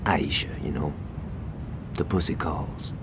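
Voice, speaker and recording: An actor playing a crude, cocky young gangster in a video game, blunt and menacing. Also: male voice, rumbling low, steady tone, slow, heavy background noise. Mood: casual, cocky.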